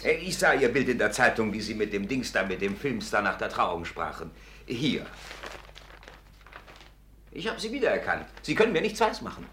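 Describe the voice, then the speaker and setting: A blustering, indignant aristocrat in an old radio drama. His voice leaps up and down as he sputters, in a roomy recording with clear noise. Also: on the low side, very animated, moderate pace, long pauses, fairly bright tone. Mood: impatient. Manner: sputtering, irascible. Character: indignant, blustering titled English gentleman who was robbed. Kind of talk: 1950s German radio play acting.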